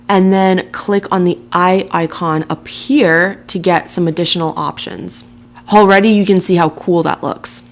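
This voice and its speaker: A woman narrating a software tutorial in a casual, chatty way, laughing lightly now and then. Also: fairly low pitch. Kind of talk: screen-recording tutorial narration.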